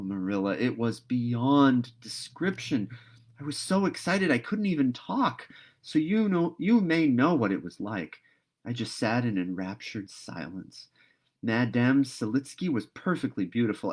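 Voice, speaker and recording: A man's voice: mid-range voice, darkish tone. A man voicing a talkative, dreamy young girl in a storybook read-aloud, earnest and gushing. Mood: rapturous.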